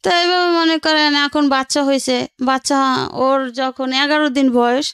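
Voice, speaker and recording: High-pitched woman with a Bangladeshi Bengali accent. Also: normal speed, a bit bright, dry recording, studio quality. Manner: colloquial, rambling narration with quoted family speech. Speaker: interview guest telling own life story. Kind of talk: personal storytelling in conversation.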